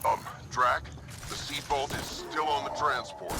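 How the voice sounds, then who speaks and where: A man's voice: medium pitch, expressive, unhurried. An actor playing an eager, dutiful young male recruit in a video game, speaking earnestly and deferentially. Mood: eager, respectful. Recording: music behind the voice.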